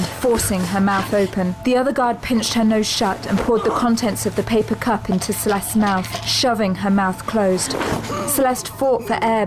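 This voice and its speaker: A woman's voice: mid-range voice, poor audio. Female drama narrator over prominent music.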